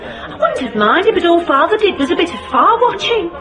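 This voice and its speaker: An actress playing a bossy, chatty, jealous British wife out dancing in a radio drama. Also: female voice, very high-pitched, very animated, moderate pace. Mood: gossipy. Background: music and busy dance hall crowd.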